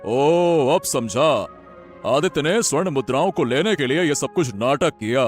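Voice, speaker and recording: A man playing an earnest, high-voiced fairy-tale king. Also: animated, a little slow, faint music behind. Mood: suspicious. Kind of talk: animated Hindi moral-story dialogue.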